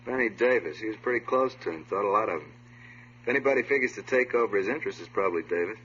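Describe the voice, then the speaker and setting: An actor playing a wry, casual police detective in a radio drama. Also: male voice, lowish voice, animated, fast, a bit bright. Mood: speculative. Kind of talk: 1950s radio drama acting. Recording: dull old radio recording, faint hum.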